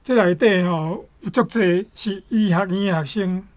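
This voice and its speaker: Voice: mid-range voice, moderate pace. A speaker with a Taiwanese accent retelling a story in a conversational style.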